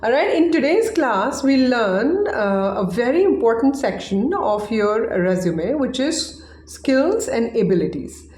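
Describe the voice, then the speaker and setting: A chatty woman teacher whose first language is Hindi talks through a relaxed online lesson and keeps asking "right?". Her voice sits fairly high for a woman. The room around her is echoey. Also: unhurried. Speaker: English teacher.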